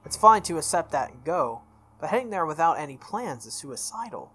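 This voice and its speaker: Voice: mid-range voice, big pitch swings, brisk, fairly bright tone, breathy edge. A video game playthrough host reading visual novel text aloud with casual reactions, stumbling over and repeating words. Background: game music.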